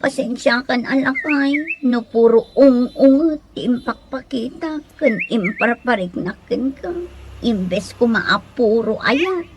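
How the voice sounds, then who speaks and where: Woman acting a shrill Ilocano nagging wife. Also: slow. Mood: tearful, touched. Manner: scolding, whining, theatrical. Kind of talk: comedy radio drama acting. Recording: clearly noisy recording.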